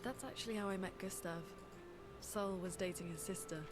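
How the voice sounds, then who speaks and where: An actress playing a serious, reserved female researcher in a video game, measured, matter-of-fact and dry in her speech. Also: mid-range voice, close to an everyday voice. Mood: reminiscing. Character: serious, reserved adult woman, scholarly researcher. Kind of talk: naturalistic video game cutscene acting. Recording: background music over dialogue.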